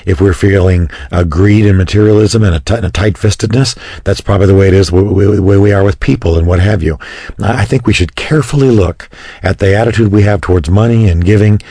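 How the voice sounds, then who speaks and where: Raspy-voiced fast-talking male radio host. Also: low-pitched, dry recording. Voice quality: raspy today. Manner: warm conversational chat, some stumbles. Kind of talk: casual radio chat.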